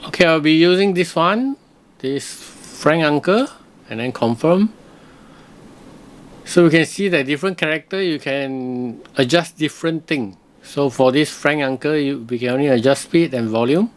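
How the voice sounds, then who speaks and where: Male tutorial host, fairly high-pitched, casual, hesitant step-by-step commentary. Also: moderate pace. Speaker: software reviewer, host.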